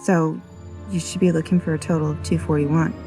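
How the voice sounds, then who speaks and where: A woman acting a serious, sharp-minded scientist in an audio drama. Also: sits low, little pitch movement, a little fast, soft background music. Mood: probing.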